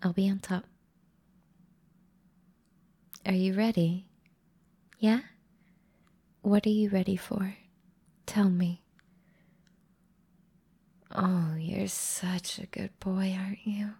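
A woman voicing an affectionate, ancient horned and tailed demon woman in an intimate audio roleplay, murmuring softly to the listener in a breathy whisper. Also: mid-range voice, level tone. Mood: coaxing. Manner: soft, slow, intimate murmuring.

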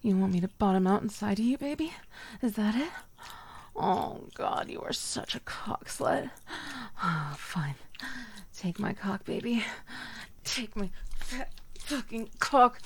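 A woman performing a dominant, teasing audio roleplay, speaking in soft whispers broken by low murmurs. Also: lively intonation, slow pace, pauses often, slightly rough.

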